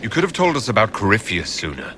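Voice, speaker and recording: An actor playing a resolute leader in a fantasy video game, formal and direct. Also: male voice, on the low side, sing-song, fast talker, bright, forceful tone, a little hiss or hum. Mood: stern. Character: commanding, resolute male leader of a fantasy order. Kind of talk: video game dialogue acting.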